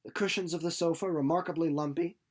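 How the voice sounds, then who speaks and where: A man's voice: a little high, steady tone, fast talker. A young man giving a casual, informal book recap, playful and rambling off into asides. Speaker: book reader and presenter.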